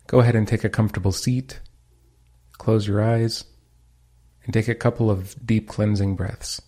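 A man leading a guided meditation, giving calm, gentle instructions with pauses. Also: lowish voice.

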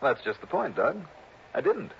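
An actor playing a wry, quick-witted insurance investigator in an American radio drama of the 1960s, with clipped hard-boiled delivery. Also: male voice, medium pitch, very animated, gravelly, a little hiss or hum. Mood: puzzled, impatient. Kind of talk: 1960s American radio drama, first-person narration and dialogue. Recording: dull old radio recording with music.

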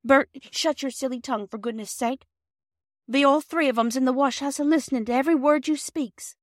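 A woman's voice: sits high, a little fast, dry recording, clean studio sound, pitched above the performer's own voice, lightly acted. A woman putting on a gushing working-class wife. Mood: anxious. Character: working-class older woman, emotional, warm.

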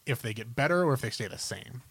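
A man's voice: mid-range voice, lively intonation, fast, creaky, fairly bright tone, slightly rough, no room sound. A man co-hosting a podcast, chatting informally and giving opinionated, lengthy explanations about films and games. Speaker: podcast host.

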